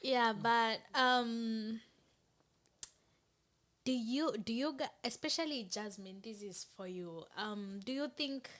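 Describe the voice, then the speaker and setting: High-voiced woman hosting a chat. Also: slow pace, a bit bright. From Kenya. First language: Kikuyu. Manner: reflective, with frequent agreeing interjections. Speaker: host. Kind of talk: casual podcast chat.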